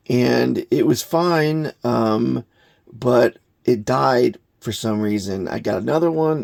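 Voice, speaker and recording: A man giving an unscripted hands-on gadget review in a halting, plain-spoken, matter-of-fact way. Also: lowish voice, gravelly, darkish tone, breathy edge, no room sound, clean studio sound. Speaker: product reviewer, hobbyist maker.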